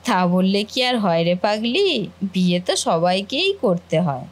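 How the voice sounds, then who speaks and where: A woman's voice: mid-range voice, animated, normal speed, natural voice. A woman voicing a caring, fussing sister-in-law and housewife in a family cartoon. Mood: tender. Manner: affectionate, fussing, motherly. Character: caring, doting elder sister-in-law, housewife, gently scolding. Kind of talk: Bengali family cartoon voice acting.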